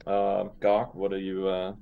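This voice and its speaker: A man chatting casually as a player in a tabletop roleplaying game, improvising in halting, deliberate phrases. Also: low voice, monotone, phone-quality sound.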